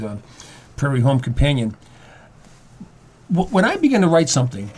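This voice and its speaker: A man in his seventies talking casually and reflectively as a guest writer, halting and repeating words as he goes. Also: medium pitch, a little mellow. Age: 70s.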